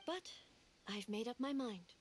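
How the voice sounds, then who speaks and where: A woman voicing a composed, regal female deity in a game, measured and formal. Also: a little high, animated, a little hiss or hum. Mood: solemn. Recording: game audio with music behind.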